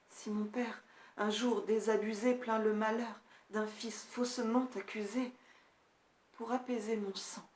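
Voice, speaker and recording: A woman acts out a sorrowful tutor bearing terrible news in a stage tragedy. The verse comes very slowly and solemnly, shifting between hushed and forceful phrases. The room rings with a clear echo around the medium-pitched voice. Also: lightly acted. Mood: mournful, tender. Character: grief-stricken male tutor recounting his prince's horrific death. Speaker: performer reading a classical tragedy monologue. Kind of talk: dramatic classical French verse recitation.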